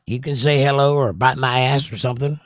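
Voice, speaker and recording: A slightly hoarse middle-aged man hosting a livestream, chatting casually and teasing his viewers as he reads their comments. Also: medium pitch, fairly even intonation, a little fast, no room sound, clean studio sound. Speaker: livestream host. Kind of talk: casual chat reading viewer comments aloud.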